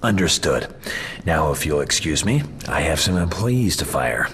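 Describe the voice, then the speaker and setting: An actor playing a gruff, cocky video game action hero who talks tough in terse wisecracks, with a gravelly voice. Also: male voice, a bass voice, very animated, unhurried, a bit bright, slight background noise, full character voice. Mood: dry, cocky. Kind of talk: video game cutscene acting.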